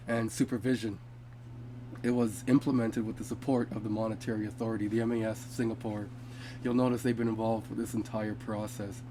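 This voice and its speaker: A man's voice: medium pitch, warm tone, slight background noise. Congested man hosting a crypto video. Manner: rambling, with restarts and fillers. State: congested, a bit sick. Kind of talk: casual commentary reading from web pages. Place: home.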